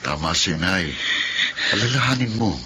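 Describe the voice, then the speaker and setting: A protective older brother with a low voice, respectful and hesitant, weighing his words. A man acts him out. A steady noise sits behind the recording. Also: normal speed. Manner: polite, speaks gravely and hesitantly. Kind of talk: Filipino radio-style audio drama.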